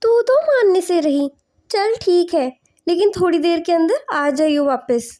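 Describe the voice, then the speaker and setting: A woman acts out a poor, anxious wife and mother from an animated folk tale. Her voice is very high and soft-toned, plaintive and earnest as she urges her family. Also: unhurried. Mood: gently scolding. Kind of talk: animated moral story dialogue.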